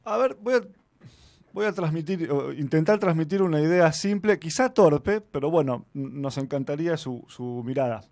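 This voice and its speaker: A man's voice: on the high side, animated, normal speed, a little mellow, dry recording. A man, hosting an interview and asking polite, somewhat hesitant questions. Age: 30s. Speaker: host.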